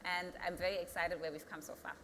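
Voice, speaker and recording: A woman's voice: on the low side, little pitch movement, fast talker, a bit bright, long reverb tail, a little hiss or hum. A woman in her thirties with a German accent, a company founder answering interview questions earnestly and rising to emphatic peaks. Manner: earnest, builds to emphatic peaks, thinks aloud with restarts.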